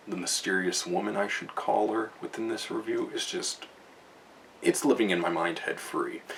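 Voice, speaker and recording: Deep gravelly man, rambling, distant mic. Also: a bit bright. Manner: rambling, casual, lots of fillers and restarts; chuckles often while talking. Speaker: book reviewer. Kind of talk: casual spoken book review. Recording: distant mic, faint background noise.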